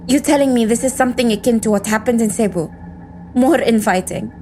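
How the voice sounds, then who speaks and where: A fairly high-voiced female agent played by a woman in an audio drama, embittered and defiant. She speaks with weary intensity and a sardonic edge, over a light bed of music. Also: brisk, a little mellow, close to an everyday voice. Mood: sardonic, tense. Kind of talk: scripted audio drama monologue and dialogue.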